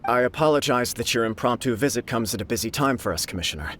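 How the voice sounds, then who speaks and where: A man's voice: medium pitch, expressive, fast talker, a bit bright, a little hiss or hum, close to an everyday voice. A man voicing an earnest young nobleman who is acting head of his clan in a video game, polite and modest.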